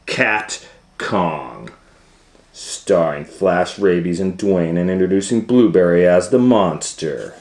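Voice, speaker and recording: A man hosting storytime, reading a children's story aloud in a theatrical way with dramatic pauses and swells. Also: sits low, animated, a little mellow. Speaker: storytime reader and host. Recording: roomy, echoing sound.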